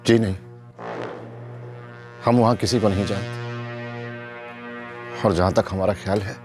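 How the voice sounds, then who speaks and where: An actor playing a middle-aged grieving father who answers quietly. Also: on the low side, fairly even intonation, a little slow, over music, close to an everyday voice. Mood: subdued. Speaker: victim's father.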